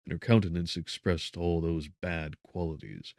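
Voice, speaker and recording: A man reading a gothic audiobook in a solemn, measured, dramatic way, with brooding pauses. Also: low-pitched, vocal fry, a little mellow, no room sound, studio quality. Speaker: audiobook narrator. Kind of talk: dramatic scripted narration of gothic literature.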